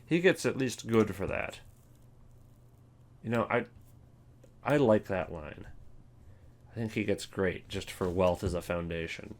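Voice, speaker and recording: A man's voice: on the low side, a little slow, pauses often. A man hosting a video game ranking, giving wry, opinionated commentary in a casual, improvised way.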